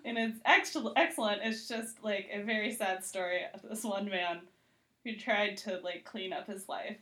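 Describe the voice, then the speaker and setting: A woman's voice: mid-range voice, normal speed, a bit bright. A woman chatting casually as a podcast guest, conversational and giggly, with "like" sprinkled through her sentences. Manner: conversational, heavy use of "like", giggly. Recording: roomy, echoey sound.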